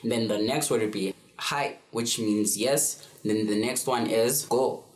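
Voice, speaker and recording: A man's voice: on the low side, unhurried. A man in his twenties from South Africa giving a casual beginner language lesson, easygoing, with frequent ums and fillers. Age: 20s. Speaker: language tutor. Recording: roomy echo.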